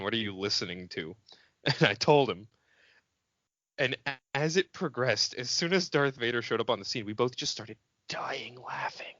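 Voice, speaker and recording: A man talking casually as a podcast co-host, telling stories in an exasperated, animated way. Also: medium pitch, no echo. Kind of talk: casual chat. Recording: dull lo-fi podcast audio, dry.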